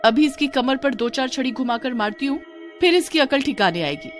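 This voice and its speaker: A woman voicing a sharp-tongued, nagging village wife in a horror story, full of exclamations. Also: high voice, expressive, a little fast, faint music behind, pitched above the performer's own voice. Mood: scolding. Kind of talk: Hindi horror story character voice.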